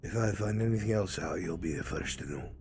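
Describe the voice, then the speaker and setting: A man's voice: low-pitched, expressive, fairly quick. A man playing a furtive, loyal informant in a fantasy roleplay, speaking conspiratorially with old-fashioned rustic words. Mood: hushed, wary. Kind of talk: improvised fantasy roleplay dialogue. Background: background music.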